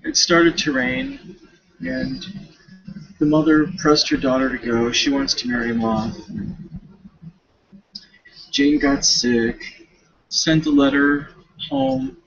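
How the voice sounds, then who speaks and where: Slow, halting man with a husky, creaky voice. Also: on the high side, sing-song, fairly bright tone. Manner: informal, slangy, halting retelling with self-corrections. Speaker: student reading a novel for a class paper. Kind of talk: casual, rambling study recap of a novel, talking to self. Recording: dull, lo-fi home recording.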